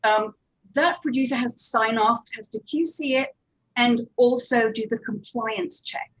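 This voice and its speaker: A woman with a slightly high voice talks on a panel as a producer. She explains practical matters step by step, pausing and hesitating as she goes. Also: fairly bright tone, rough recording. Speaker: audio drama producer and director, panelist.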